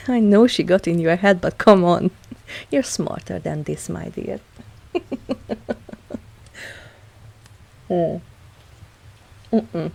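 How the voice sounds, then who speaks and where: A woman playing a seductive, possessive vampire mistress in an intimate ASMR audio roleplay, breathy and often whispering, teasing and commanding. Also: on the low side, a little mellow, full character voice. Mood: amused, dismissive. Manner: slow, teasing, dominant, with pet names.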